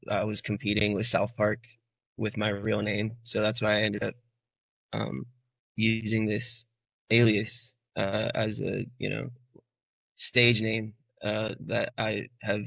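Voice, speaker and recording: Male guest from Arizona, halting and emotional, bright, tense tone, dry muffled sound. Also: on the low side, gravelly, dry recording. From Arizona, United States. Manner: halting, emotional, many fillers and restarts. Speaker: musician guest. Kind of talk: casual interview answer.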